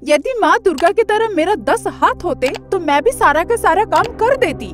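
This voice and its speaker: Woman acting cartoon young aunt, sharp-tongued, very high-pitched, faint music underneath. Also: lively intonation, moderate pace. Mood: sarcastic. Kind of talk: Hindi cartoon story dubbing.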